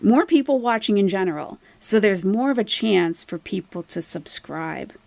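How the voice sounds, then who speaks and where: A woman's voice: medium pitch, animated, moderate pace, gravelly, darkish tone, no room sound. A woman hosting a casual live commentary stream, explaining things emphatically to viewers and asking rhetorical questions. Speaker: livestream host, YouTube commentator.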